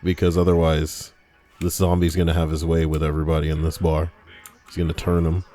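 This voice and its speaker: Sex: male. Role: streamer. Style: crude, rambling gaming banter. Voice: low. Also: completely flat delivery, brisk, dark tone, faint music behind.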